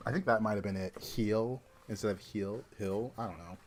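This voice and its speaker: A man's voice: fairly low pitch, normal speed, a little hiss or hum. A young man from Georgia streaming a card game, chatting casually and thinking aloud with lots of fillers. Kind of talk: casual livestream commentary.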